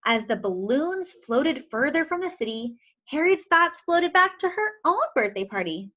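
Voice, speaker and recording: A woman storyteller reading a picture book aloud to young children in an expressive, explaining way, with questioning asides. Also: high-pitched, normal speed, muffled recording.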